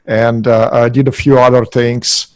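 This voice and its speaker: A middle-aged man with a Russian accent, a podcast guest, talking haltingly with many hesitations. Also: medium pitch, flat delivery, dry recording. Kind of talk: podcast interview.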